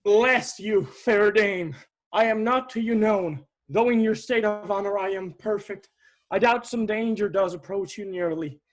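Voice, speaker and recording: Man playing a high-voiced, earnest messenger. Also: unhurried. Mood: urgent. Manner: earnest, formal. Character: earnest, humble messenger in a Shakespearean play. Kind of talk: stage-style Shakespearean acting. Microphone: video call recording.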